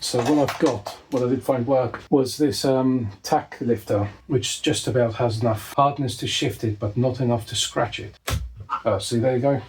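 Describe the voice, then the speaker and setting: A man hosting a hands-on repair tutorial, talking casually and with dry humour as he works indoors at a desk. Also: mid-range voice. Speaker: host of a repair video. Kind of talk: casual hands-on tutorial commentary while working. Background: clicks and handling noise of tools.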